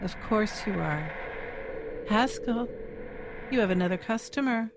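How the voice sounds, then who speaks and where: An actress playing a sultry, sardonic femme fatale in a noir audio drama, on a noisy recording. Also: female voice, medium pitch, lively intonation, unhurried. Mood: sardonic, cool. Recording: noisy track with clicks.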